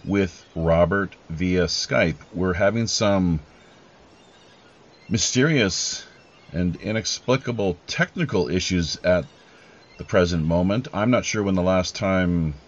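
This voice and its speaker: Deep voiced guy hosting a podcast, slow and deliberate, kinda formal announcer style, bit muffled audio. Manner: deliberate, measured, formal phrasing. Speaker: podcast host.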